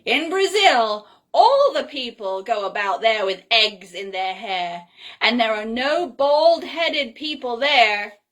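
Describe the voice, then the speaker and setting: Woman putting on a high, boisterous little storybook girl. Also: lively intonation, slow pace, a bit bright. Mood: boastful, playful. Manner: emphatic, playful, breezy. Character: cheerful, boisterous, boastful little girl telling tall tales. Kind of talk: storybook character voice in a read-aloud.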